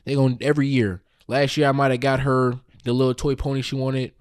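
A Southern American man on a group podcast who tells stories bluntly and swears. The sound is dry and close, with no room echo, and his voice sits at a mid pitch for a man. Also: little pitch movement, quick pace, smooth. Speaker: podcast co-host. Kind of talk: casual group chat.

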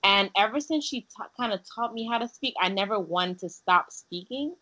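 A woman's voice: medium pitch, expressive, moderate pace, pushed and bright, rough recording. A young woman from Haiti, a guest in a casual interview, talking earnestly with many fillers and restarts. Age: young adult.

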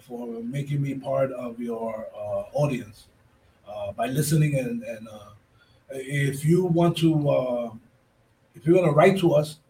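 A man's voice: medium pitch, dark tone, rough recording. A man with a Puerto Rican accent hosting a casual radio talk show, effusive, repeating his phrases and mixing English with Spanish. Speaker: radio host. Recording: clear background noise.

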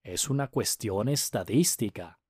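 A man's voice: fairly low pitch, lively intonation, unhurried, darkish tone, husky, no room sound, clean studio sound. A man voicing a polite, thoughtful male museum visitor in a storybook reading. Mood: musing.

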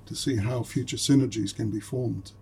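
A man's voice: medium pitch, steady tone, moderate pace, mellow, a little hiss or hum. A man whose first language is German, speaking English in a hesitant way with many fillers, chatting with colleagues in an academic meeting. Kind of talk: casual academic discussion.

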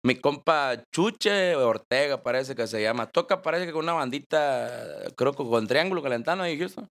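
A man's voice: medium pitch, expressive, moderate pace, a bit bright. A man in his twenties with a Mexican accent, a banda singer telling stories as the guest in a casual interview. He jokes, laughs and uses slang. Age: 20s. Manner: laughing, joking storyteller, slangy.

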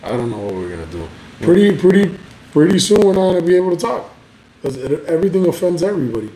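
Man, podcast co-host, crude rambling chat, dark mellow tone, echoey room. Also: fairly high pitch, very animated, fast talker. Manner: crude, rambling, heavy on filler words. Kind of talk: casual chat. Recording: echoey room with faint background noise.

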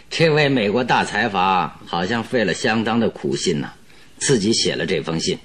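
Deep, lively, wry detective. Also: male voice, husky, exaggerated voice. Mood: wry, amused. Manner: brisk, sardonic, probing. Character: confident, wry, commanding male detective. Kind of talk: radio drama acting.

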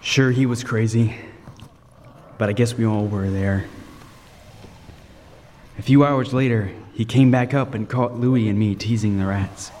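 A man's voice: lowish voice, fairly even intonation, unhurried, long pauses, darkish tone, lightly acted. An actor playing a lighthouse keeper who tells his horror story with vivid, suspenseful intensity. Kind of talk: dramatic first-person narration in radio table read. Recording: roomy long reverb, faint noise.

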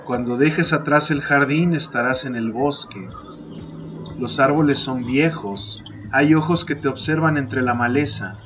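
A man narrating a literary fantasy poem as a podcast host, in a measured, deliberate storytelling style. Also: mid-range voice, muffled recording. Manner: measured, deliberate, second-person storytelling delivery. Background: music.

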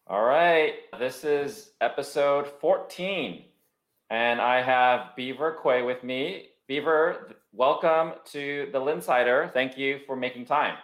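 A man hosting a podcast, talking in a relaxed, conversational way while recording remotely. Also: medium pitch, takes it slowly, roomy sound. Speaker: podcast host. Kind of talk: podcast interview.

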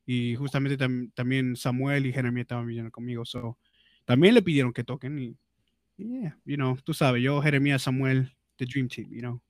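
A man's voice: mid-range voice, normal speed, no echo. A man chatting casually as a podcast guest, slangy and jokey, peppering his Spanish with English fillers. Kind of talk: casual bilingual chat.

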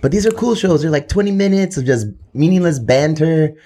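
A man's voice: a little high, lively intonation, a little fast, mellow. A man in his thirties hosting a podcast, joking and swearing in casual banter and dropping into Spanish phrases. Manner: profane, joking, slips into Spanish. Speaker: podcast host.

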